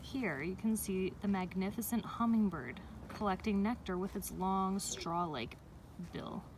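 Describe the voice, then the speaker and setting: A woman teacher reading a picture book aloud to young children, explaining in a measured way with pauses between phrases. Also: medium pitch. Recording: distant mic with faint background noise.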